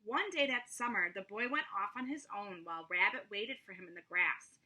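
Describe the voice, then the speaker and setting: A woman reading a children's story aloud in an expressive, dramatic manner. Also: mid-range voice, normal speed, slightly rough, rough recording. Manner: dramatic, with whispered asides.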